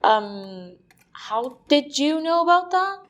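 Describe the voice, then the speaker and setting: Woman as a cheeky, high-voiced young lad sleuth. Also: big pitch swings, slow pace, lo-fi sound, heavily acted. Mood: earnest, cheeky. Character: young lad detective's sidekick, cheeky, earnest. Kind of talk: amateur audio murder-mystery comedy acting.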